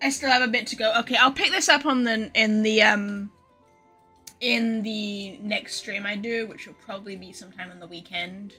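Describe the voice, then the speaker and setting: A woman gives casual live gaming commentary. Her voice is high and bright with a rough, husky edge. She rambles excitably to her viewers. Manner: excitable, rambling, swears freely. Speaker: game streamer.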